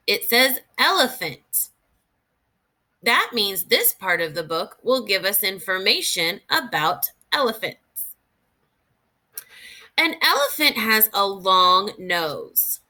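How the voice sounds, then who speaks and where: Woman, primary teacher read-aloud, lively swooping intonation, slow pace, expressive, instructional think-aloud delivery. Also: mid-range voice. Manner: deliberate, expressive, clearly phrased for young learners. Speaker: teacher reading aloud to young children. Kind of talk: instructional read-aloud of a children's nonfiction book with think-aloud comments.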